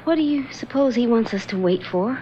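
An actress playing a nervous young secretary who hesitates and stammers in a radio drama. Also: female voice, fairly high pitch, animated, brisk, noisy background, natural voice. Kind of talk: 1970s radio drama acting. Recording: dull lo-fi radio recording.

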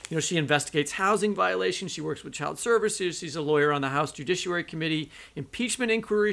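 A man co-hosting a literary podcast, talking through his thoughts in a halting, conversational way. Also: fairly high pitch, vocal fry, a bit bright. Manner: halting, conversational, thinking aloud. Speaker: podcast co-host. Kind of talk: literary interview discussion.

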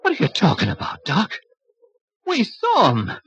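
A man's voice: high-pitched, wildly swooping pitch, unhurried, creaky, a bit bright, slightly rough, heavily acted. An actor playing a timid, superstitious small-town man in an old-time radio horror drama, folksy, nervous and hesitant. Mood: frightened. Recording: dull vintage radio recording.

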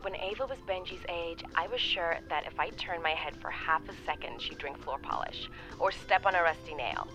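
A woman's voice: lowish voice, moderate pace, hardly any pauses, pushed and bright, faint music behind, low-quality recording. A woman with an American accent playing a brash, breezy friend and mother in an audio drama, chatty and slangy. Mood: brisk, caring. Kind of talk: naturalistic audio drama acting.

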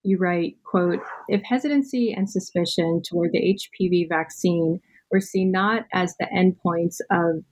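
Woman host with a breathy, slightly low voice, casual interviewer. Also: unhurried, darkish tone, low-quality recording. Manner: conversational, hedging with "you know" and "kind of". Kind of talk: academic interview questions.

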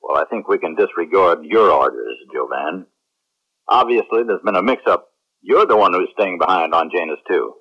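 A man's voice: sits low, lively intonation, moderate pace, husky, exaggerated voice. A man acting a confident, strict military spaceship captain in a 1970s radio drama, commanding and self-assured. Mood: firm. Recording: muffled 1970s radio drama recording, faint noise.

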